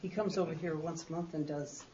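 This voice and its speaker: A woman's voice: deep voice, fairly even intonation, fairly quick, warm tone. A woman talking informally and a little tentatively as she reasons aloud at a public meeting in a hall. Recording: muffled meeting recording, faint hiss.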